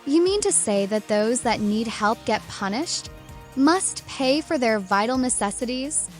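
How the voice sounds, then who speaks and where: A woman's voice: on the high side, expressive, unhurried, faint music behind. A woman playing a naive, curious young stranger from a hidden world, formal and stilted, in a dramatized comic. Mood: puzzled.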